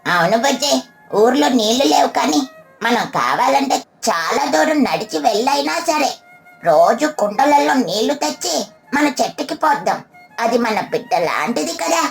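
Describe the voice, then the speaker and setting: Young cartoon parrot boy, played by a woman: earnest, friendly, high voice, music underneath. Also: very animated, moderate pace, vocal fry, heavily acted. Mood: caring, determined. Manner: childlike, earnest.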